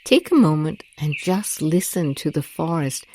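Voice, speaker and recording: A woman guiding a meditation with a gentle, deliberate, calming delivery and long pauses. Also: low voice, a little hiss or hum, low-quality recording. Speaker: guided meditation host. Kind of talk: soothing guided meditation narration. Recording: nature ambience with birds and crickets. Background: rainforest sounds, birdsong and crickets.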